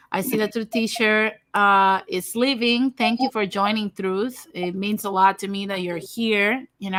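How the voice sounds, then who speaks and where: A woman's voice: medium pitch, a bit bright, dry recording. A woman from Puerto Rico in her thirties, hosting a casual livestream chat and talking frankly and directly to her viewers. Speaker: host of a livestream talk show.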